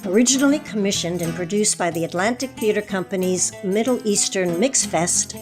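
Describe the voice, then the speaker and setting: A woman with a medium-pitched voice narrates an audio drama from a script. Guitar music plays clearly behind her as she announces. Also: fairly quick. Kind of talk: scripted introduction and stage-direction narration.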